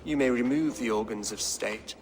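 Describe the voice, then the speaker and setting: An actor playing a pious, calculating English king in a video game, with a formal, deliberate and composed delivery. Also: mid-range voice, lightly acted. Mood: grave, calculating. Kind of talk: dramatic video game acting. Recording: noisy background ambience.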